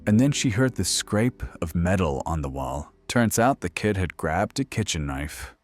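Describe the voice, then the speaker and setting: An actor playing a wry, deadpan, sardonic host of a late-night horror radio show. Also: male voice, low-pitched, normal speed, no echo. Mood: dark, storytelling. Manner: deadpan, sarcastic.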